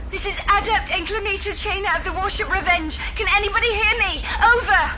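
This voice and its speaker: Very high-pitched actress as a warship adept, noisy. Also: female voice. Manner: formal, repetitive radio procedure. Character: female warship adept sending repeated distress calls. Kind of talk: audio drama radio call. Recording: noisy radio transmission. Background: clear noise.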